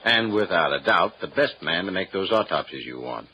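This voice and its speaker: A man's voice: deep voice, expressive, normal speed, slight background noise. An actor playing a folksy, easygoing small-town male police lieutenant in an old radio drama, on a dull lo-fi broadcast. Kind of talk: 1950s radio drama acting.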